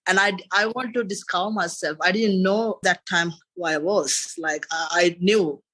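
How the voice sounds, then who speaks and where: A man in his thirties with a Sri Lankan accent talks in a bright, clear tone. He rambles loosely through his story as a podcast guest, looping back with filler phrases. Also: sits high, moderate pace. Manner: rambling, repeats "and after that" and "you know". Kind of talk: casual interview chat.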